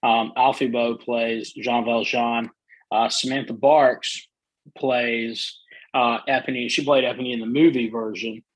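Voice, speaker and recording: A middle-aged man talks eagerly in a casual interview, his soothing voice a little husky. The sound is dry and close, with no room behind it. He restarts often and fills pauses. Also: mid-range voice, little pitch movement, dry recording, poor audio. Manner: enthusiastic, many fillers and restarts. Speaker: guest.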